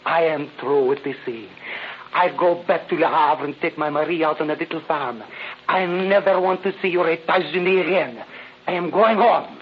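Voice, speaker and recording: An actor playing an emotional, pleading French sailor with a French accent who slips into French, in 1930s radio drama style. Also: male voice, fairly high pitch, moderate pace, close to an everyday voice. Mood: relieved, emotional. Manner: excitable, slips into French exclamations. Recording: dull noisy old radio recording.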